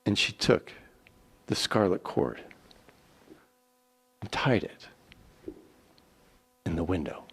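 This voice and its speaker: A man giving a dramatic scripted scripture narration, deliberate and theatrical, with weighty pauses. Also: sits low, very animated, gravelly, a bit bright, slightly rough. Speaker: scripture reader. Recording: echoey hall with long reverb.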